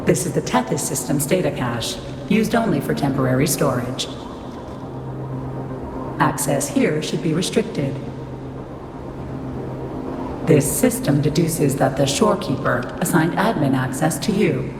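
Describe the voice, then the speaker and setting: A woman's voice: deep voice, animated, normal speed, noisy background. A woman voicing a cold, impersonal computer system in a video game, speaking formally and with detachment. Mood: detached, formal. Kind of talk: scripted game voice acting. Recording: echoing hall reverb, music behind.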